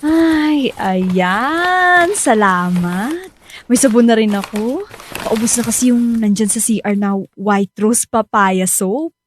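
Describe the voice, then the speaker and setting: A woman acting a protective, stubborn young wife and mother with a Filipino accent in a radio drama. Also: a little high. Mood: warm, domestic. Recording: studio drama over background music.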